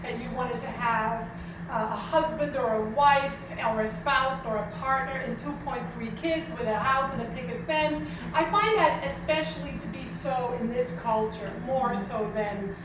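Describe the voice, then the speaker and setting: A woman pastor with a high-pitched voice delivers a conversational, reflective sermon. She is picked up from a distance in a very echoey room, with clear noise in the recording. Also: normal speed. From Netherlands. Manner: conversational, reflective, addresses listeners with questions. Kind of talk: informal spiritual sermon to a congregation. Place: church service hall, indoors.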